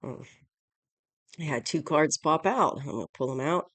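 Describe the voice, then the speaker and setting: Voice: medium pitch, animated, normal speed, a bit bright, no echo. A tarot reader hosting a casual weekly reading with a stuffy, congested voice from allergies and a sore throat, rambling and correcting phrases along the way. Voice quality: stuffy, odd-sounding voice. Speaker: tarot reader, channel host.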